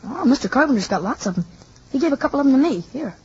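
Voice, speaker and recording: A man's voice: extremely high pitch, animated, very fast, a little mellow, slight background noise. An actor playing an eager, earnest young boy in an old-time radio drama. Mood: sheepish. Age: child. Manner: eager, breathless, slangy. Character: excitable earnest young boy with big imagination. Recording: muffled vintage radio broadcast.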